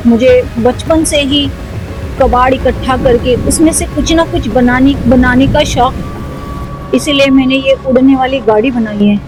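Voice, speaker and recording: Woman playing a high-voiced earnest neighbour uncle. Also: a little slow, music in the background, low-quality recording. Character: kindly neighbour man, earnest homemade-car inventor. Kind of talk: children's toy cartoon story acting.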